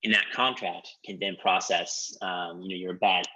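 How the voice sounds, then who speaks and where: Low, flat-voiced man on a conference call. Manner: measured, explanatory, frequent ums and you-knows. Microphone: conference call line. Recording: dull lo-fi conference call audio.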